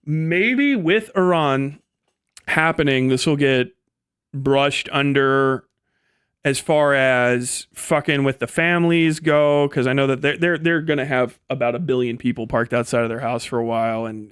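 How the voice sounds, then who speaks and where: A man's voice: mid-range voice, a bit bright, clear, resonant tone, dry recording, clean studio sound. A man giving casual, unscripted livestream commentary, rambling and incredulous, with slangy talk and heavy swearing. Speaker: live streamer and political commentator.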